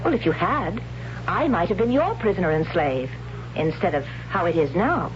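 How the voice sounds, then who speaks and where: An actress playing a proud, blunt young huntress in a radio drama, matter-of-fact and puzzled by feeling. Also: female voice, on the low side, animated, normal speed, natural voice. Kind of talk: 1970s radio drama acting. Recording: noisy, dull 1970s radio broadcast with hum.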